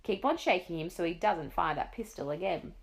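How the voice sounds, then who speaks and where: Storybook animal: a woman plays a calm, encouraging giraffe. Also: fairly low pitch, brisk. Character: calm, helpful talking giraffe.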